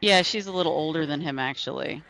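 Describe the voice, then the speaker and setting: A man's voice: on the high side, quick pace, gravelly, sharp tone, rough recording. A man in his thirties chatting casually with fellow players over a headset, rambling with lots of fillers. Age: 30s. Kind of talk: casual gaming chat.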